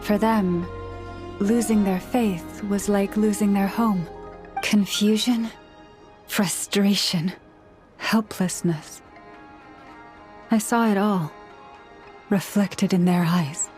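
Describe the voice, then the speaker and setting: Game role: actress as tall, solemn priestess leader, mid-pitched female voice, slow measured formal delivery. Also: fairly even intonation, a little mellow, natural voice. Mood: earnest, calm. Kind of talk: video game voice acting, formal speech. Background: background music.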